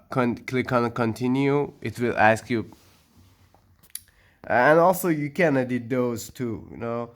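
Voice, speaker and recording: A man whose first language is Persian, narrating a computer setup walkthrough with frequent pauses, restarts and loose non-native phrasing. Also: medium pitch, clean tone. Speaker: tech tutorial maker. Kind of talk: screen-recorded walkthrough narration.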